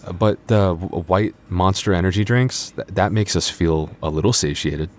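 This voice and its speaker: Very deep-voiced, teasing young vampire guy, acted by a man. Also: normal speed, a little mellow, natural voice. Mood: sheepish, explaining. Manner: hesitant stammering, sardonic banter. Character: flustered teasing young vampire convenience store coworker, male. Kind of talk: ASMR roleplay audio drama.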